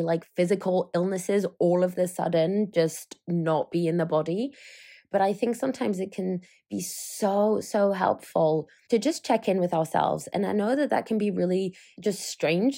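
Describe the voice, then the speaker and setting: A woman in her thirties with a fairly low voice that is slightly croaky. She chats alone on her podcast in a loose, wandering way, loses her thread and jokes at her own expense. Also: a little mellow. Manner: rambling, self-mocking, loses her train of thought. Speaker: podcast host. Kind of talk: casual solo chat.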